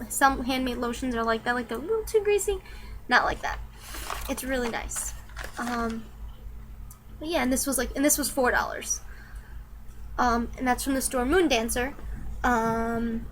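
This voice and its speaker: A woman's voice: on the high side, a bit bright, slight background noise, low-quality recording. Chatty young woman. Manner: chatty, rambling, lots of ums and likes. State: a little sick and nasal. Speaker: vlogger. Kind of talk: casual product review vlog.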